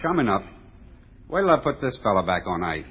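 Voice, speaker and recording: An actor playing a chatty working-class American morgue attendant in old radio drama, talking in casual rough slang on a muffled recording. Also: male voice, lowish voice, very animated, fairly quick, background noise. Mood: matter-of-fact. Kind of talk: 1930s-40s radio drama acting.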